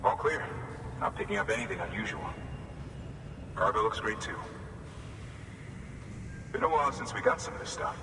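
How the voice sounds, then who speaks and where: An actor playing a weary, courteous cargo checkpoint officer in a video game, plain-spoken and informal. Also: male voice, mid-range voice, lightly acted. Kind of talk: video game cutscene acting. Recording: distant, echoey hall with clear noise.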